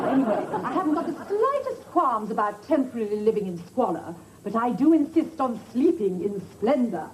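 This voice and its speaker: A woman's voice: fairly high pitch, very animated, normal speed, a faint crowd, rough recording. A middle-aged woman in a sitcom role, talking in a theatrical, playfully mock-regal manner. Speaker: sitcom lead. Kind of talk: 1980s British sitcom acting. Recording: studio audience laughter and noise.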